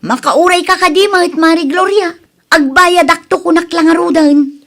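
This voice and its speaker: A high-pitched, stubborn housewife with an Ilocano accent, played by a woman. She is indebted and evasive, defending herself in the broad manner of comedy radio drama. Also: normal speed, a bit bright. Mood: exasperated, evasive. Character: stubborn indebted housewife who makes excuses to creditors.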